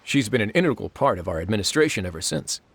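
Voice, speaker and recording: An actor playing an easygoing male prison warden who talks in a relaxed, dry, casual way. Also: on the low side, fast, breathy edge, heavily acted.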